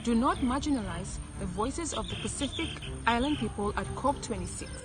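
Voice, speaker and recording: A woman's voice: a little high, lively intonation, fairly quick. Young woman from Vanuatu, insistent. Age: young adult. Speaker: climate activist. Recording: music underneath.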